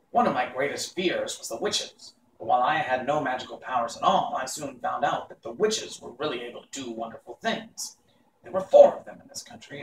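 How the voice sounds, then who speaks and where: A man's voice: mid-range voice, reverberant, distant mic, a little hiss or hum, lightly acted, low-quality recording. A man voicing a little old humbug wizard, chatty and apologetic, in a storybook reading.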